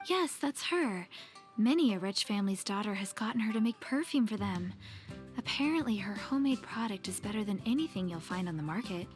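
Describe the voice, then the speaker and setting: A woman's voice: mid-range voice, lively intonation, fairly quick, lightly acted. A woman playing a demure, polite, slightly flustered young fortune teller in a video game. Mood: helpful. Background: video game music.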